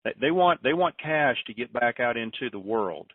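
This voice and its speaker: A man hosts a call-in conference in a folksy, informal style. His voice sits at a middle pitch and comes over a narrow phone line, a little away from the mic, with very little room sound. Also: expressive, moderate pace, dry recording, recorded from a distance. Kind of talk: informal call-in talk with advice. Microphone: telephone conference line.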